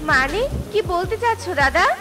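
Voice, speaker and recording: Woman as sorrowful mother bird, very high pitch, tender, plaintive, cartoon, clear music bed. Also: animated, normal speed. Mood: puzzled. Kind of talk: children's cartoon dubbing. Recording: loud music behind.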